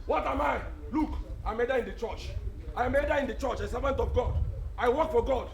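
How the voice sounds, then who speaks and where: A male actor playing a callous, scheming village elder with a Nigerian accent, scolding and repeating himself in loud, theatrical film style. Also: very high-pitched, a little fast, gravelly. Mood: menacing, boastful. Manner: blustering, repetitive, scolding.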